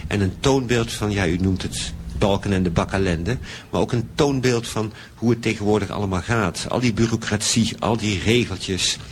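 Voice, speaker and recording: Man acting a wry, low-voiced thriller writer. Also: moderate pace, hardly any pauses, slight background noise, close to an everyday voice. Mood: conspiratorial. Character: impatient, wry male thriller writer travelling by train. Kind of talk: radio play acting.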